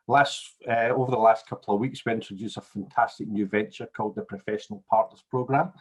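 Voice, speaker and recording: A man, a director of a business organisation, giving a formal webinar presentation with upbeat promotional turns over a video call. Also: mid-range voice.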